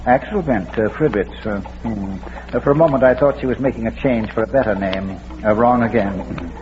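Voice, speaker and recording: A man's voice: on the low side, normal speed, lightly acted. A man acting an erudite amateur detective in a vintage radio mystery. He talks in urbane, wordy, theatrical phrases with dry wit. The recording is muffled, with a light hiss. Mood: genial, droll. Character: erudite, droll bearded schoolmaster and amateur detective.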